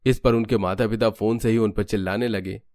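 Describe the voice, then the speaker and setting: A man's voice: fairly low pitch, steady tone, a little fast, darkish tone, soft background music. A man giving scripted storytelling narration for a cartoon.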